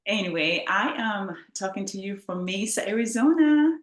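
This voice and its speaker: A woman's voice: medium pitch, animated, moderate pace. A woman from Ghana, a guest author, telling her story in an earnest, heartfelt way. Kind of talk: interview storytelling. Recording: echoey room.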